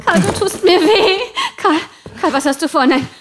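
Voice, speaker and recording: A woman playing a gentle wife who pleads and begs her husband to stop. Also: a little high, a little slow, close to an everyday voice. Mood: frightened. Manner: tender then panicked pleading. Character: frightened, pleading young wife.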